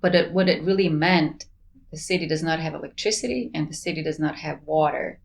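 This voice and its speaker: A woman's voice: sits low, fairly quick, gravelly. A woman in her forties from Bosnia, speaking English with a Bosnian accent as a researcher guest in a casual interview, earnest and enthusiastic, laughing between thoughts. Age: 40s. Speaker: plant pathology researcher, podcast guest.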